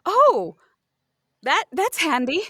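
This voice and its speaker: Flustered, polite heroine, played by a woman, high and hesitant, dry sound, for comedy roleplay. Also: big pitch swings, slow, sharp tone, no echo, exaggerated voice. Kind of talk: improvised audio comedy roleplay.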